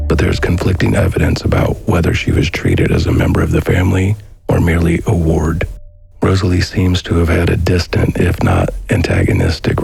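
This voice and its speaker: A man reading a scripted documentary narration in a measured, formal manner. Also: low-pitched, creaky, a little mellow. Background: music.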